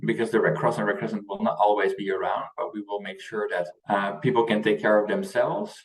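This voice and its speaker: A man's voice: on the low side, fairly even intonation, quick pace, roomy sound, recorded from a distance, rough recording. A young man with a Dutch accent, a native Dutch speaker, answering questions as an interview guest in a conversational way. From Netherlands. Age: young adult. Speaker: interview guest, youth organization leader.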